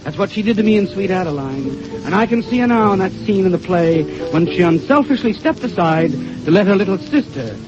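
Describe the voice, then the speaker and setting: A man's voice: sits high, expressive, fairly quick, few pauses, music in the background. A man hosting a 1930s radio show, reading scripted introductions in a formal, storytelling manner. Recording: dull, noisy 1932 radio broadcast.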